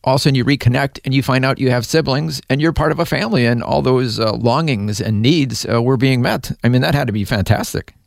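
A man's voice: medium pitch, quick pace, vocal fry, few pauses, dry recording, clean studio sound. A man hosting a faith interview, affirming his guest and reflecting back on her story with frequent listening sounds. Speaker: interview host.

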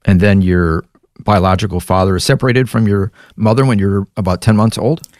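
A man hosting an interview, reading a scripted introduction and asking measured, attentive questions. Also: lowish voice, darkish tone, no echo, clean studio sound. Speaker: host.

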